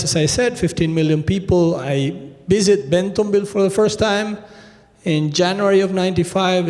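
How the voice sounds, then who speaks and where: Argentine guy, 50s, conference keynote, lots of "you know" and restarts, fairly high voice, long hall reverb. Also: a little mellow. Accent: Argentine Spanish. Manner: conversational, many fillers and restarts, addresses audience directly. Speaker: retail executive keynote speaker.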